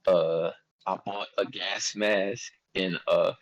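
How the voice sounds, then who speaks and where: A man's voice: low-pitched, steady tone, slow pace, highs cut off. A young man with a Philadelphia accent telling a story in a casual chat, loose and slangy, often quoting himself. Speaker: guest artist.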